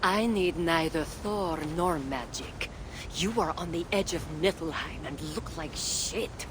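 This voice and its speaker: An actress playing a stern, wry goddess queen in a video game, speaking in a measured, dry and commanding way. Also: on the low side, expressive, background noise. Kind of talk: dramatic video game acting.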